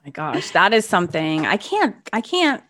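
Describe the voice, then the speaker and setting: A woman's voice: lowish voice, very animated, moderate pace, pushed and bright. A woman co-hosting a casual chat in a teasing, insistent manner. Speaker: show co-host.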